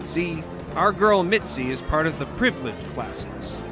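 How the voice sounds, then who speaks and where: A brash young 1920s reveler, full of teasing. His voice is on the high side and animated, over music. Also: measured pace. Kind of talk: 1920s period audio drama dialogue. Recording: music behind the voice.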